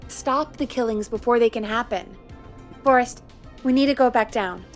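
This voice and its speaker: A woman playing a brisk, practical radio station producer in a video game. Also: fairly high pitch, faint music behind, lightly acted. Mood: urgent, determined.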